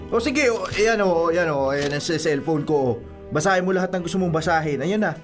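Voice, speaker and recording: A man's voice: on the high side, a little fast, faint music behind, lightly acted. A man playing an exasperated young boyfriend in a radio drama, arguing and pleading in colloquial speech with a Filipino accent.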